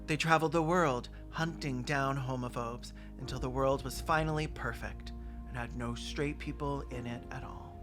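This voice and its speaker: Give a snarky online host with a mid-range voice. The delivery is sarcastic and dry, with crude comic asides, as commentary on a video. Soft music plays behind. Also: mid-range voice, steady tone, moderate pace. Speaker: host of a reaction video show.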